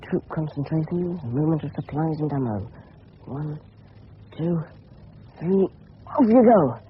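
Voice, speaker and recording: A man's voice: a little high, lively intonation, unhurried. A man playing an eager, cheeky English teenage schoolboy, thinking aloud in clipped boyish slang in a radio drama. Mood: excited, intent. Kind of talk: 1970s radio drama inner monologue. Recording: tinny, faintly noisy old radio broadcast.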